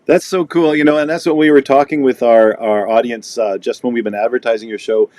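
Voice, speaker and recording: A man hosting a casual chat as a concert presenter, encouraging and quick to agree with his guest. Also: medium pitch, fairly even intonation, a little mellow, no room sound. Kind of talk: casual conversational interview.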